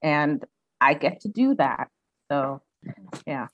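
A woman's voice: low voice, lively intonation, slow pace, no echo, dull audio. A young woman raised in the United States, speaking reflectively as a guest in a casual interview, with many "um"s and "you know"s.

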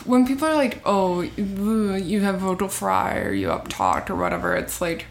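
Young woman with vocal fry, roomy echo. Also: mid-range voice, sing-song, normal speed. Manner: chatty, lots of fillers. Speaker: podcast co-host. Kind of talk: casual chat.

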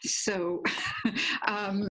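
A middle-aged woman from Guatemala telling her life story in a reflective, meandering way, correcting herself and laughing softly. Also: mid-range voice, little pitch movement, very slow, rough recording. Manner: dreamy, meandering, with soft laughs and self-corrections. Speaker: guest, imagery teacher.

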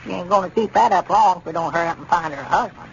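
An actor playing a fussy, skeptical old country fellow with querulous, folksy rural Arkansas talk in a 1930s radio comedy. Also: male voice, sits high, fast, gravelly. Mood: worried. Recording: muffled, noisy 1930s radio recording.

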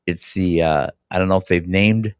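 A man with a somewhat low voice, raspy and hoarse from a cold, hosts a casual hockey podcast. He rambles enthusiastically, full of fillers and restarts. The sound is close and dry. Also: fairly even intonation, moderate pace, no echo. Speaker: podcast host. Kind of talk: casual sports chat.

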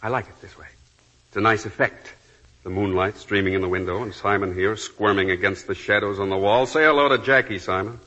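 An actor playing a bitter, jealous escaped convict in 1940s radio drama, with a sardonic, taunting manner and a raspy voice. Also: male voice, lowish voice, brisk, bright, forceful tone. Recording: dull, lo-fi 1940s radio recording.

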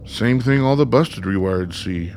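A man's voice: fairly low pitch, lively intonation, measured pace, gravelly, a little mellow, slight background noise. A man acting in a radio play as a bitter, sarcastic, foul-mouthed son with a blunt manner. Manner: blunt, sardonic.

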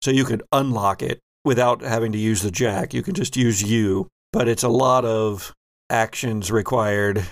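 A man running a tabletop role-playing game as game master, improvising vivid descriptive narration with thoughtful pauses. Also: fairly low pitch, steady tone, no room sound, studio quality.

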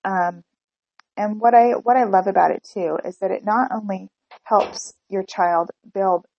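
Gravelly-voiced woman with a cold. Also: mid-range voice, steady tone, measured pace, no echo, muffled recording. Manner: earnest, chatty, with restarts and ums. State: has a cold. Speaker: podcast host. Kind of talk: solo podcast talk.

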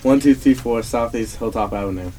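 A man's voice: mid-range voice, a little fast, dark tone, close to an everyday voice. Guy playing hapless suspect being questioned, mid male voice, amateur radio play, slightly noisy. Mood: sheepish. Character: hapless cheating neighbor, a murder suspect under questioning. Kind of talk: amateur old-time radio drama acting.

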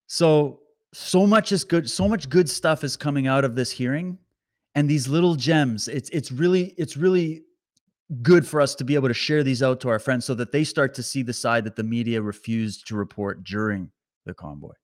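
A man hosting a political commentary stream, talking straight to his viewers with animated sarcasm. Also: mid-range voice, normal speed. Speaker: commentary host.

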